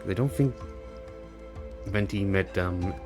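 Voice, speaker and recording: A man with a lowish voice comments casually on a game as a streamer would. He muses aloud haltingly, with clear game music behind him.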